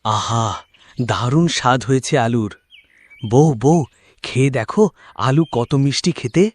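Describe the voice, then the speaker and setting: A man's voice: medium pitch, takes it slowly. A man playing a poor, hopeful, devout village farmer in a cartoon, exclaiming in an earnest, folksy way. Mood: delighted. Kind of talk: Bengali cartoon voice acting.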